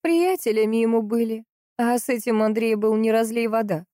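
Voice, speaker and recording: Woman voicing a distraught, insistent grieving sister, mellow-toned. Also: on the high side, steady tone, no room sound, studio quality, pitched above the performer's own voice, lightly acted. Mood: insistent, bitter. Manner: emphatic, impatient, drawn-out stresses. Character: distraught, insistent, hot-tempered grieving sister in a crime story.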